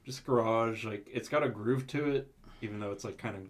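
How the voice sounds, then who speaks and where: A man's voice: lowish voice, fairly quick. A man co-hosting an album review podcast, rambling casually with lots of fillers and frequent chuckles. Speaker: podcast co-host.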